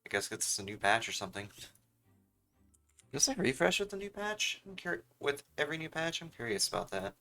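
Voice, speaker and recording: A man's voice: a little high, sing-song, fairly quick, pushed and bright, faint music behind. A young man giving casual livestream commentary as he plays a game. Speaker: game streamer.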